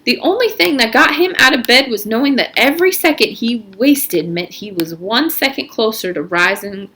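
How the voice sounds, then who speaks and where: A woman reading a children's story aloud in an expressive, animated narrating style. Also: on the high side, normal speed, slight background noise. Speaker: reader of a children's novel aloud. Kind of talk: read-aloud story narration with character voices.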